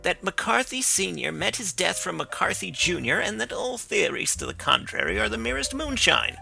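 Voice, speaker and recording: A man's voice: sits high, very animated, normal speed, vocal fry, bright, forceful tone, soft background music, voice pushed up, full character voice. A man reading the part of a blunt, stubborn male police inspector who talks in a dismissive way. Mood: insistent.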